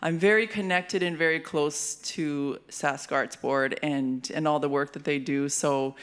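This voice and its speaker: A woman's voice: deep voice, steady tone, a little slow. A woman with a Canadian accent hosting an awards show with playful, giggly, informal stage banter. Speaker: master of ceremonies at an awards show; hip hop artist, rapper.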